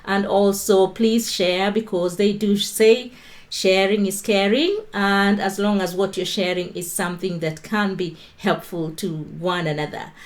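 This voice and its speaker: Kenyan woman, livestream host on her phone, chatty and unhurried, mid-pitched voice. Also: steady tone. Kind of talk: casual livestream talk with Bible teaching.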